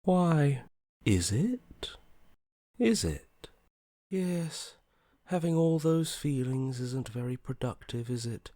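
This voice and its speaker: An actor playing a detached, morbidly curious inner voice in a video game, with a languid, blasé delivery that softly trails off. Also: male voice, medium pitch, big pitch swings, slow pace, no room sound, clean studio sound. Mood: detached, dismissive. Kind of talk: video game character voice acting.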